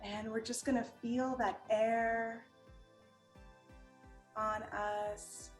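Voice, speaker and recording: A woman leading a guided meditation in a calm, gentle manner, giving unhurried instructions with long pauses. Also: fairly high pitch. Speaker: meditation guide and art teacher. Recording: roomy echo with music underneath.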